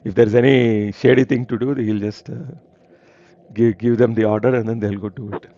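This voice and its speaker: A man's voice: on the low side, steady tone, moderate pace, dark tone, lo-fi sound. A man from India hosting an online reading group, chatting casually, laughing often and hesitating with many fillers.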